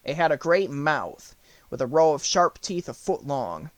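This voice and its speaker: Male audiobook narrator for a children's storybook, slightly high voice, dry close recording. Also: very animated, measured pace, dry recording.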